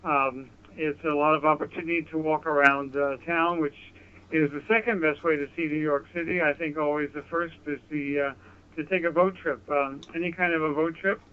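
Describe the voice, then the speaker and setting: Smooth American man over narrow-band radio. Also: fairly high pitch, steady tone. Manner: polite, measured, deliberate. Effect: radio transmission. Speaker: amateur radio operator. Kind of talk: ham radio contact, conversational. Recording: narrow, phone-like handheld radio audio.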